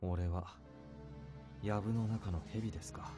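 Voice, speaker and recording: A man's voice: fairly low pitch, steady tone, moderate pace, music in the background, natural voice. A man voicing a cool, laconic young covert operative in a visual novel, nonchalant. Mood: dry, wary.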